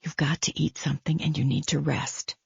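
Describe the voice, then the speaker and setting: Woman voicing a caring, steady friend. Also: low voice, a little fast, creaky, slightly rough, no echo, muffled recording, full character voice. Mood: concerned. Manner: soothing, firm reassurance.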